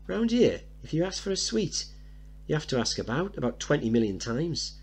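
Scruffy young lad played by a man, cheeky and slangy, pitched high, swooping delivery, for a play reading. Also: big pitch swings, normal speed, darkish tone, husky, heavily acted. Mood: cheeky, boastful. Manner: slangy, blunt, eager. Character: scruffy seven-year-old working-class boy, cheeky, boastful, suspicious.

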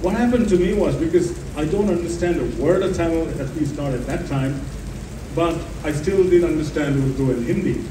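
A man's voice: on the high side, normal speed. A middle-aged or older man telling an anecdote as a panelist, repeating phrases for emphasis. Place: festival stage, before an audience. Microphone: handheld microphone through PA, filmed from audience. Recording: echoey distant stage PA with noisy ambience.

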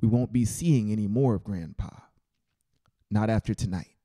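A man voicing a blunt, resolute male cousin in an audiobook, explaining things in a flat, matter-of-fact way. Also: fairly low pitch, moderate pace, close to an everyday voice.

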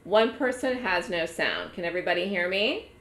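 A hoarse woman with a bright, edgy voice that sits a little low lectures her online trading room. She talks in a chatty way and repeats key words for emphasis. The sound comes through a webinar mic with a roomy echo. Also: expressive, normal speed. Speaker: trading educator running a trading room.

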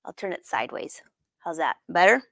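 A woman's voice: lowish voice, big pitch swings, brisk, vocal fry, fairly bright tone, no room sound, clean studio sound. A middle-aged woman hosting a casual live craft demonstration, chatting informally and putting questions to her viewers. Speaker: host of a live sewing lesson. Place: sewing studio room, indoors.

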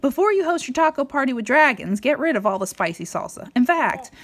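A woman reading a picture book aloud to a young child in a playful, expressive way with dramatic emphasis. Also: high-pitched, a little fast. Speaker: storybook reader.

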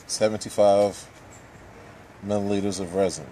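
A man in his forties giving a casual step-by-step how-to demonstration in a backyard, with relaxed fillers. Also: on the low side, moderate pace, a little hiss or hum. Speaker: hobby boatbuilder. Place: backyard outdoors. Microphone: camera mic, handheld camera nearby.

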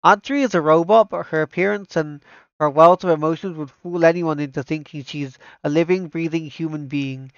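A man's voice: fairly high pitch, a little fast, clear, resonant tone, no room sound, muffled recording. A man doing casual livestream commentary as an anime fan. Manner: enthusiastic, repeats himself. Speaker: streamer reacting to anime trailers.

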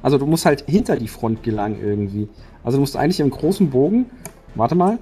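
A man's voice: mid-range voice, very animated, quick pace, dark tone. A man playing in a tabletop game, laying out battle tactics to the others. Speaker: player. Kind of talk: tabletop strategy discussion.

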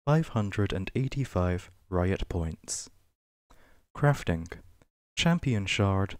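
A man with a fairly low voice reads written entries as ASMR, very slowly and with deliberate pauses. His pitch rises and falls noticeably from item to item, and the recording is close and dry. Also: darkish tone, no room sound. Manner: measured, list-like reading with pauses. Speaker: ASMR reader. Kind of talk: ASMR reading of a game wiki page.

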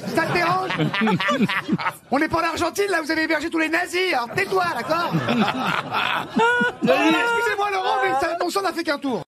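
A man in his forties, a panel comedian throwing out deadpan mocking jokes in a radio studio on a close mic. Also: extremely high pitch, a loud crowd. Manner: rapid, mocking, deadpan provocation. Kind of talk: provocative comic banter. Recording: studio with loud audience. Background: studio audience laughter.

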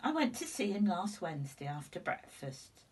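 A woman in her sixties reading a children's storybook aloud in an expressive, deliberate way. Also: fairly low pitch, husky. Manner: deliberate, animated telling. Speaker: teacher reading a picture book to children. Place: indoors at home, seated before curtains. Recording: slightly distant room microphone.

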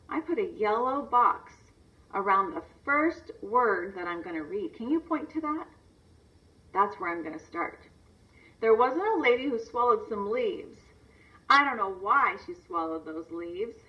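A woman teacher giving a read-aloud lesson for young children, instructional, stressing words and asking simple questions. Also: a little high. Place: video lesson played on a screen and re-recorded. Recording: distant, dull replay through a screen's speaker.

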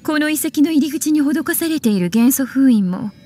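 高めの声の落ち着いた魔女司書. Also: female voice, sits high, lively intonation, normal speed, warm tone. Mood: composed, suspicious. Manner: poised, feminine, coolly condescending. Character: composed, teasing adult witch librarian with a stern edge. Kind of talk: anime game voice acting. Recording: game music behind.

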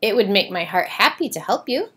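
Kindly male elephant for a picture book, read by a woman, gentle and reassuring. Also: medium pitch, very animated, fairly quick, slightly rough, full character voice.

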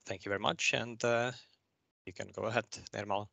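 Gravelly-voiced man hosting a webinar. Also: fairly low pitch, steady tone, a little fast, pushed and bright, no room sound. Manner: polite and orderly, brief fillers. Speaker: webinar host. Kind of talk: formal introduction.

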